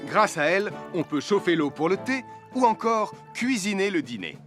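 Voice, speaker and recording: A man playing a friendly, responsible cartoon firefighter, teaching safety in a clear, reassuring way for a French children's dub. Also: high voice, big pitch swings, normal speed, a bit bright, soft background music. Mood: instructive, upbeat. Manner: didactic, reassuring, clearly articulated. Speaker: firefighter character giving safety advice.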